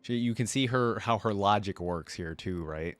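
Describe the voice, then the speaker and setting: A man's voice: fairly low pitch, normal speed, dry recording, soft background music. A man hosting a casual reaction video, enthusiastically commenting and musing aloud on what he hears. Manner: enthusiastic, repeats lines back and muses on them.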